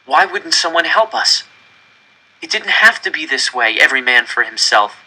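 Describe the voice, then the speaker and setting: A man's voice: on the high side, very animated, moderate pace, pushed and bright, poor audio. A man reading a first-person story aloud dramatically, with an earnest, intense and emphatic delivery. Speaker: audiobook reader.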